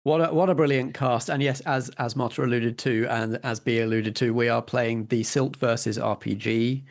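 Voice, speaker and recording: A man's voice: medium pitch, steady tone, moderate pace. A man hosting a roleplay podcast, introducing things in a presenter's style that loosens into chat.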